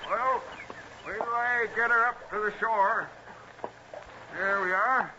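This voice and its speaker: An actor playing a lazy, servile ferryman with drawn-out, hesitant delivery in an old radio drama. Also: sits high, steady tone. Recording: muffled, noisy old radio recording.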